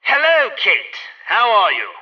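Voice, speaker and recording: A man's voice: high-pitched, wildly swooping pitch, a little slow, distant mic, highs cut off, exaggerated voice. An actor playing a fussy, eager male gadget instructor in a spy video game, speaking in precise, wordy, formal lessons. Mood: brisk, instructive. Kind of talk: scripted instructional narration, video game acting.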